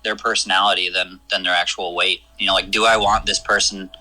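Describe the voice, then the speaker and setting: A young man from Michigan calling in to a podcast, talking casually and candidly with halting restarts. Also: low voice, level tone, sharp tone, low-quality recording. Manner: candid, self-deprecating, halting. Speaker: caller. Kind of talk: casual phone chat.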